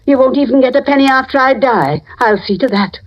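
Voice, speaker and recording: An actress playing a scolding, suspicious old spinster in an old radio drama. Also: female voice, high voice, fairly quick, close to an everyday voice. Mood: stern, suspicious. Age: older. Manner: scolding, fretful. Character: cranky, suspicious old spinster aunt, rich and stern. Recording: dull, lo-fi old radio recording.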